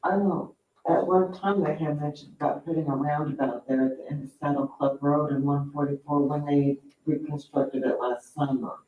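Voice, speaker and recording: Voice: medium pitch, steady tone, moderate pace. A person talking casually as a participant in a meeting. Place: echoey meeting room, picked up from afar. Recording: roomy, distant, muffled audio with faint noise.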